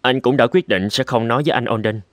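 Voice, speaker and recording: A man playing a calm, principled young husband in an animated drama, speaking in a measured, reassuring way. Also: medium pitch, dry recording, natural voice. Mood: calm, explaining. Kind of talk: Vietnamese animated drama dubbing. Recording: loud music behind the voice.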